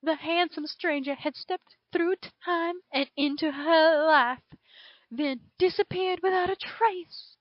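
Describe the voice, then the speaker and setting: A woman's voice: very high-pitched, slow, dry recording, lo-fi sound. A woman voicing a drawling southern dragon. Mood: dramatic. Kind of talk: reading fan fiction aloud.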